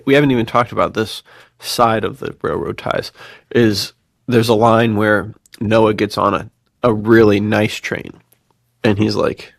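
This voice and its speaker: Creaky-voiced man chatting casually, close and dry. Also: lowish voice, no echo. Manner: slangy, excitable, agreeable. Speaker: podcast co-host. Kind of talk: casual enthusiastic chat.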